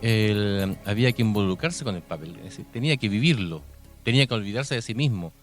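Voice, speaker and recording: A man's voice: on the low side, lively intonation, fairly quick. A man with a Chilean accent hosting a conversational radio interview. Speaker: radio host. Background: music.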